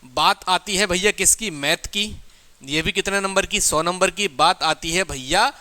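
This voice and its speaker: Male coaching teacher, high-pitched and forceful, repeats key points and poses questions he answers himself. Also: unhurried. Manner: repetitive, asks questions and answers them himself. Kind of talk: informal exam strategy lesson.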